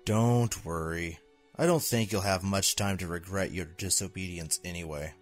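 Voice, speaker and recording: An actor playing a cold, menacing bodyguard in an audio drama, controlled and taunting. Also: male voice, low voice, normal speed, faint music behind, natural voice. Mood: cold menace. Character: cold, menacing male bodyguard interrogating a foe.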